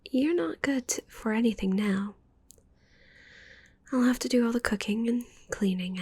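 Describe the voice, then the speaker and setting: Possessive witch for ASMR roleplay, woman performer: slow, breathy whisper with creaky edges, doting yet menacing. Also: a little high, darkish tone, exaggerated voice. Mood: possessive, condescending. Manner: slow, intimate, cooing menace. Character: possessive, needy young witch doting on shrunken captive.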